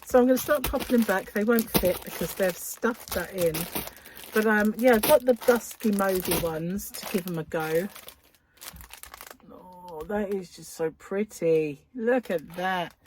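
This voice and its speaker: A woman chatting casually to followers as a craft vlogger during an unboxing, gushing and admiring with breathy asides. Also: mid-range voice, unhurried, darkish tone, poor audio. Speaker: craft vlogger and yarn shop owner. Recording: clear background noise with crinkling wrappers. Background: crinkling cellophane, clicks and rustling.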